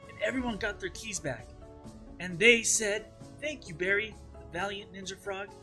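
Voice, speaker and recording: A man's voice: high voice, moderate pace, pushed and bright, soft background music, pitched above the performer's own voice. A man voicing an imaginative, stubborn young girl who loves telling stories, in a dramatized children's read-aloud. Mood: excited, triumphant.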